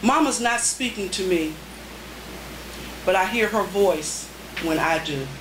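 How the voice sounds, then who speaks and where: A middle-aged woman reading poetry live, with deliberate, dramatic phrasing and pauses. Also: medium pitch, expressive, recorded from a distance. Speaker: poet, featured reader. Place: indoor cafe reading. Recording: noisy, echoey room recorded from afar.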